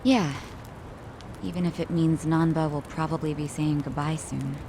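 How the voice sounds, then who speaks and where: A woman's voice: deep voice, fairly even intonation, normal speed, background noise. A woman acting a caring, no-nonsense young woman in a video game, frank and composed. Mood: fond, wistful. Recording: music behind the voice.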